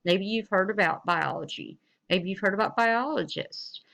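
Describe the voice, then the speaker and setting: A woman's voice: medium pitch, normal speed, creaky, fairly bright tone. A woman giving an instructional lesson as a teacher, explaining things informally and encouragingly to students.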